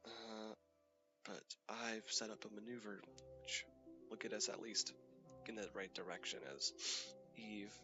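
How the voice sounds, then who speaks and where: Young guy w/ a cold, kinda low stuffy voice, lots of uhs, game music going behind him. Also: highs cut off. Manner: rambling, hesitant, many fillers. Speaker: gaming channel host. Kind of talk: casual gameplay commentary.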